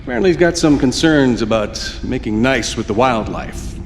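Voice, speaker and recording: An actor playing a brusque, businesslike operations boss at an off-world colony in a video game. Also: male voice, medium pitch, expressive, normal speed, long reverb tail, faint music behind, close to an everyday voice. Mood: firm. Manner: firm, businesslike. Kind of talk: video game cutscene acting.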